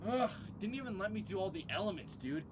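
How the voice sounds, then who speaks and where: A gamer giving let's play commentary, excitable, ranting at the game and making laser sound effects. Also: mid-range voice, fast talker, noisy background. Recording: voice over loud game music. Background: game music.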